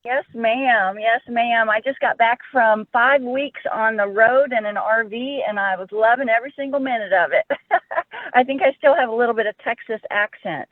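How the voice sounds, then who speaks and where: A woman's voice: a little high, normal speed, no room sound. Woman calling in on a tinny phone line. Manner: warm, laughs often. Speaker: caller. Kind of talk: casual call-in chat.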